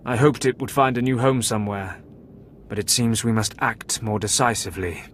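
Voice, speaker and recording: A calm, regretful academy keeper, voiced by a man, measured and somber in mid pitch. A faint low rumble sits under his game dialogue. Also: close to an everyday voice. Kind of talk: video game voice acting.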